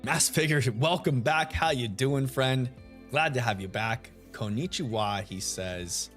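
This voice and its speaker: A man's voice: mid-range voice, a little slow. Man, streamer, laid-back, chatty, radio-style voice, faint music behind. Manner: laid-back, chatty, greets chat members by name. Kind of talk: live stream chat while shiny hunting. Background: soft lo-fi music.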